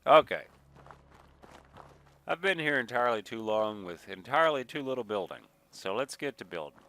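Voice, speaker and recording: A man giving casual, rambling let's play commentary, thinking aloud at an unhurried pace, slightly nasal with a mild sniffle. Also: medium pitch, lively intonation, sharp tone. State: mild sniffle from a recent cold. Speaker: gaming video creator, player.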